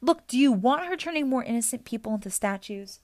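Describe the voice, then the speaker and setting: A woman's voice: fairly high pitch, lively intonation, brisk, a little mellow, no room sound, voice pushed up, natural voice. A woman voicing a bossy, brainy, determined young girl, urgent and precise, in an audiobook adventure. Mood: insistent.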